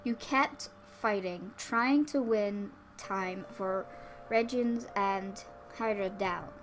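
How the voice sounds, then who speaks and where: A woman's voice: mid-range voice, a little hiss or hum, low-quality recording. A woman reading a visual novel aloud as a let's play host, dropping in casual asides. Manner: informal asides between read passages.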